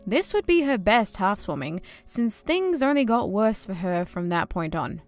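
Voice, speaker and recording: A woman's voice: medium pitch, sing-song, measured pace, no room sound, soft background music. A woman reading scripted storybook-style narration as a narrator.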